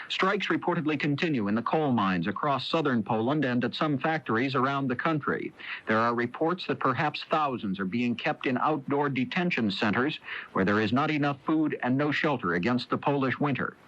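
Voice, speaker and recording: A man's voice: mid-range voice, fairly bright tone. American newsman on dull old radio tape. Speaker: radio network news correspondent. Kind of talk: scripted news reading.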